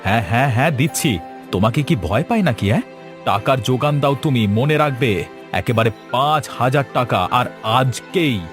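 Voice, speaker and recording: A man's voice: medium pitch, lively intonation, normal speed, over music, close to an everyday voice. A man voicing a greedy, cheeky younger brother in a village cartoon, pushy and threatening. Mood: taunting, threatening.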